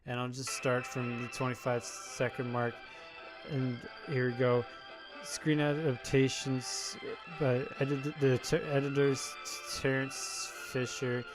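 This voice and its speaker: A man's voice: medium pitch, flat delivery, music in the background, rough recording. A man giving a rambling, hesitant video introduction, full of fillers and restarts. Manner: halting, hesitant, many fillers. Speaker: video commentator. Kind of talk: rambling monologue.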